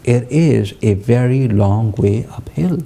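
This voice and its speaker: A man's voice: mid-range voice, animated, slow pace, vocal fry, dark tone. A male TV host questioning a guest, emphatic and drawn out as he lays out numbers. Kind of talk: TV interview questioning.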